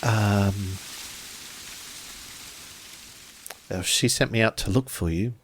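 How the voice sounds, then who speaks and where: A man voicing an earnest, polite samurai-era companion in a tabletop game, halting and stammering as he speaks. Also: on the low side, slight background noise, close to an everyday voice. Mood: worried, hesitant.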